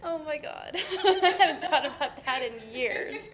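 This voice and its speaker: A woman's voice: high voice, expressive, measured pace, a bit bright. A woman playing a wry, sarcastic young woman with a dry, natural delivery in a play reading. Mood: fond, amused.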